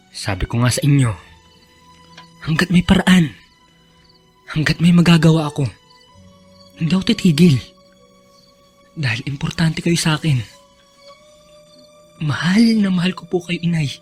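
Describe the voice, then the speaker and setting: A man's voice: fairly high pitch, unhurried, pauses often, darkish tone, breathy edge, soft background music. A man playing a stubborn, devoted, emotional young son in a Filipino radio drama, with a Filipino accent. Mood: tender, earnest.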